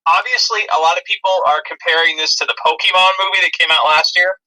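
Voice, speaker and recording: A man with a fairly high, extremely bright and sharp voice co-hosts a film podcast. His talk is casual and jokey, and the sound is thin, like a radio or phone. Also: fairly even intonation, fast talker, low-quality recording. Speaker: podcast co-host.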